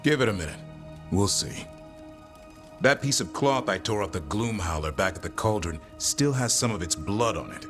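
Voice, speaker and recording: Impatient, dutiful hunter for a fantasy game, male actor: fairly low, animated pitch, blunt and terse. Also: moderate pace, lightly acted. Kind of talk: video game voice acting. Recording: music behind the voice.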